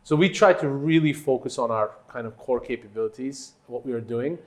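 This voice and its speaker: A man from Turkey, a native Turkish speaker, giving conversational interview answers as a company executive, in halting phrases with emphatic points. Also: mid-range voice.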